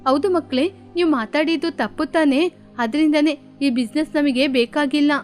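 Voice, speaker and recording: A woman's voice: high voice, normal speed, a little mellow, natural voice. A woman voicing a stern but caring female bird mother who scolds her daughters. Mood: resigned. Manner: stern, firm. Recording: faint music behind.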